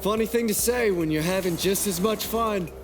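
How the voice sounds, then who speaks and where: A man's voice: sits high, normal speed, background noise, close to an everyday voice. A man playing a cocky assassin who relishes fighting, taunting and playful, in a sci-fi fan dub. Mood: taunting, amused. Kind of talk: acted fan dub of a visual novel.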